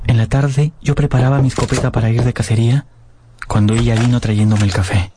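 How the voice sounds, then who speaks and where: A man's voice: mid-range voice, steady tone, a little fast, noisy background, lightly acted. An actor playing an earnest, lovesick young man who narrates his romance in a radio drama. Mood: wistful, narrating. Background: prominent music.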